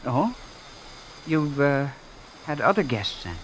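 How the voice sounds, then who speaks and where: A man's voice: medium pitch, sing-song, slow. An actor in an old-time radio drama playing an earnest, lovestruck young man, nervous and indignant, with a hesitant, stammering delivery. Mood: polite, curious. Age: young adult. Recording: noisy vintage radio broadcast recording.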